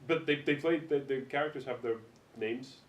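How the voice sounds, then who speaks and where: A man chatting bluntly and informally about films among a group. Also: moderate pace. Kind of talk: casual group film chat. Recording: echoey room with low rumbling noise.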